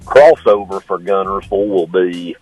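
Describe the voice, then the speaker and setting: A man's voice: low-pitched, normal speed, darkish tone, no echo, soft background music, rough recording. A man phoning in as a local sports analyst, easygoing and rambling with fillers. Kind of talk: sports report. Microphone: phone call.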